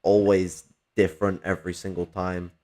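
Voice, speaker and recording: A man's voice: low-pitched, little pitch movement, normal speed, a little mellow. A man with a Northern English accent chatting casually about politics on a stream, rambling, swearing a lot and restarting his sentences, with a slightly whiny voice. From Northern England. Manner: rambling, swears often, many fillers and restarts.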